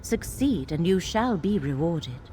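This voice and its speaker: Grave, low-voiced mystical seer. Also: female voice, very animated, mellow, a little hiss or hum. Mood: commanding, solemn. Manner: formal, portentous, measured phrasing. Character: grave mystical seer woman, solemn and commanding. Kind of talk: scripted fantasy video game dialogue.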